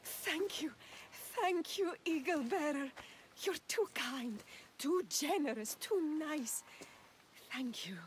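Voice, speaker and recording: A timid village woman in a game cutscene, with a higher voice that is anxious and deferential. She speaks as a devout, effusive admirer, over clear ambient noise. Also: lively intonation, takes it slowly. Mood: effusive gratitude. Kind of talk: video game cutscene acting.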